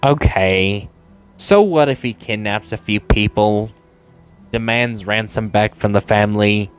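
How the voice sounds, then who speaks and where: A woman's voice: very deep voice, unhurried, clean tone. A woman giving casual commentary as she plays a video game, with a sardonic, teasing, mock-grumpy manner. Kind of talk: casual play-along commentary. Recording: game music behind the voice.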